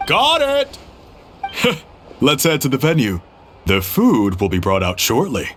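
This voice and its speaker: A man's voice: medium pitch, huge pitch swings, slow, a bit bright, slight background noise, exaggerated voice. An actor playing a friendly uncle innkeeper who hosts an eating contest, chatty and chuckling as he explains. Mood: cheerful. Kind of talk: game cutscene voice acting.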